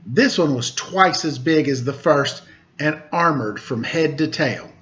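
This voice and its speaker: Man, slightly high voice, storytime host, measured read-aloud phrasing, muffled lo-fi recording. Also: a bit bright. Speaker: storytime host reading children's stories. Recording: dull lo-fi recording.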